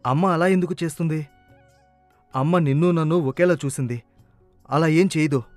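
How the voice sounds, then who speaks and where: A man's voice: on the high side, moderate pace, a little mellow, soft background music, lightly acted. Man acting a humble, earnest young farmer. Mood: hurt disbelief. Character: Raju, humble loyal hardworking younger brother, farmer.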